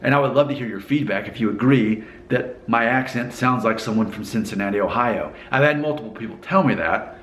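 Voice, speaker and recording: A man's voice: lowish voice, fairly quick, a bit bright, echoey room, slight background noise. A man from Alabama with a mostly neutral American accent and a faint Southern hint, musing casually to the camera and asking the viewer questions. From Alabama, USA. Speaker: vlogger.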